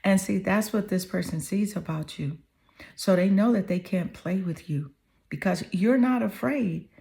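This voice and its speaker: A slightly hoarse woman giving an earnest, affirming tarot reading to the viewer, often checking in with "okay?" and "you know". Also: medium pitch, a little slow, dark tone. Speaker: tarot reader.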